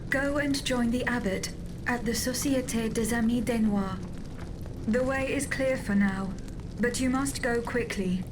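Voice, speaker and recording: Woman acting a composed, high-voiced game heroine. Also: measured pace, noisy background, lightly acted. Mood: composed, purposeful. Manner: composed, direct, formal. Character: resolute, composed female protector on a mission. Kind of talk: video game cutscene acting.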